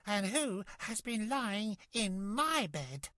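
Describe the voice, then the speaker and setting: An indignant mother bear from a children's storybook, voiced by a man. She questions crossly in a raised, womanly pitch, recorded close and dry. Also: sing-song, takes it slowly, bright, forceful tone, dry recording, exaggerated voice.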